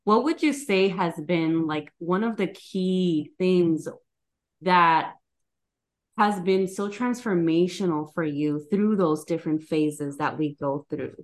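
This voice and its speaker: Woman podcast host, lowish voice, friendly, chatty with fillers. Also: slow. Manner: friendly, enthusiastic, casual fillers like "like" and "you know". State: mother with her son nearby. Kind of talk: podcast interview.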